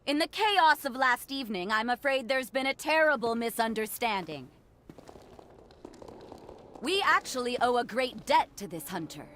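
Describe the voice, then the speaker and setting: A woman's voice: fairly high pitch, expressive, normal speed, pushed and bright, slight background noise. An actress playing a haughty, playful and predatory noblewoman in a video game, teasing in an elegant, theatrical way. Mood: commanding. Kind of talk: video game cutscene acting.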